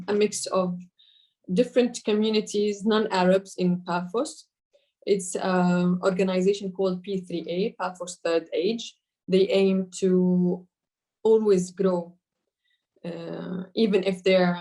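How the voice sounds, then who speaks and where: A woman with a Jordanian Arabic accent talks about founding her business, a native Arabic speaker working in English. She halts often with fillers and self-corrections. Her voice sits at an ordinary middle pitch for a woman. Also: mellow. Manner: hesitant, many fillers and restarts. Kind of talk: personal account to camera.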